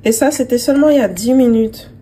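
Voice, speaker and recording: A woman's voice: a little high, quick pace, mellow, a little hiss or hum. A woman vlogger casually talking the viewer through a homemade remedy, chatting to them directly like a friend. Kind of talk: casual how-to narration to camera.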